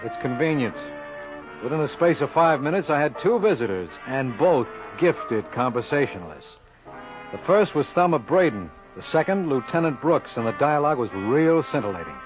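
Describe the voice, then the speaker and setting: An actor playing a wisecracking, cool-headed American private investigator in a 1950s radio drama, wry and breezy. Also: male voice, a little high, big pitch swings, moderate pace, music in the background. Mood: wry narration. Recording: dull vintage radio recording.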